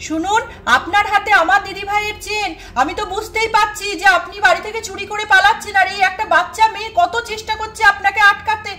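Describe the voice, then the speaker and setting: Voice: extremely high pitch, steady tone, fast talker, hardly any pauses, bright, forceful tone. A woman voicing a bold, scolding young village aunt in a doll story, with an emphatic manner. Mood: indignant. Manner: indignant, emphatic.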